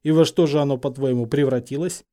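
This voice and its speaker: Man playing a stubborn teenage wizard boy, mellow timbre. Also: mid-range voice, steady tone, fast talker, lightly acted. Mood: baffled, irritated. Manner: indignant, blunt, grumbling. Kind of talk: dramatised fan fiction audiobook.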